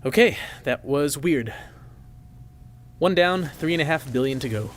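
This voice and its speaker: An actor playing a wry, slightly uneasy new archivist in a naturalistic audio drama. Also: male voice, fairly high pitch, animated, unhurried. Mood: wry muttering. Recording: clearly noisy room recording.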